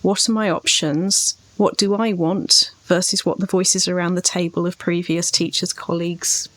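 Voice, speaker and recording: A woman's voice: lowish voice, level tone, moderate pace, no room sound. Woman writing coach, close dry sound. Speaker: podcast host and writing coach. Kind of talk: conversational writing advice.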